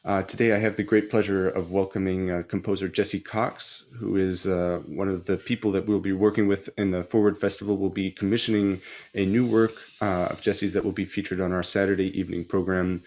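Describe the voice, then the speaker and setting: A man's voice: deep voice, level tone, brisk, darkish tone. A man interviewing a composer in a webinar over a video call, friendly and polite. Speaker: interviewer, bassoonist.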